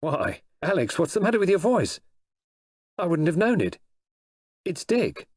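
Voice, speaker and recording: A male narrator puts on a proud, breezy English gentleman for an audiobook. He is chatty and polite, with a lifted, animated pitch and a husky edge. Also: unhurried, no echo, studio quality, full character voice. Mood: breezy, teasing.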